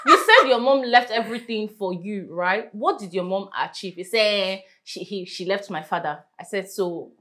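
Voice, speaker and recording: A young woman with a Nigerian accent chatting casually and emphatically, telling stories in an animated way. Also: mid-range voice, normal speed, few pauses, background noise. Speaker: panelist on a talk show. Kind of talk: casual group chat. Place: small hair salon, indoors.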